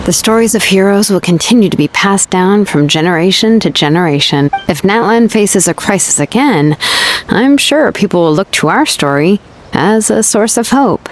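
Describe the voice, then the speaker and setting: A woman's voice: medium pitch, expressive, moderate pace, a little hiss or hum, lightly acted, poor audio. A woman voicing a hopeful, proud young warrior in a game, earnest and confident.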